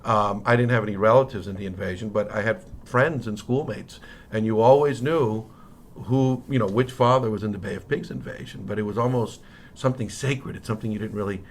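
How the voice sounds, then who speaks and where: A middle-aged man from Miami with an American accent, telling anecdotes as an interview guest in a halting, conversational way that often circles back to rephrase. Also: fairly low pitch. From Miami, United States. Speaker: interview guest, history professor. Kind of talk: casual interview, anecdotal storytelling. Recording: noticeable background noise.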